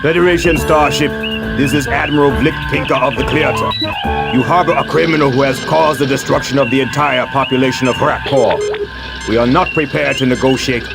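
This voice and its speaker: An actor playing an imperious alien warrior admiral in a video game, stern and declamatory, with a gravelly voice. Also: male voice, medium pitch, steady tone, a little fast, natural voice. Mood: threatening. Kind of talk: scripted science fiction game acting. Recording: loud music under game dialogue.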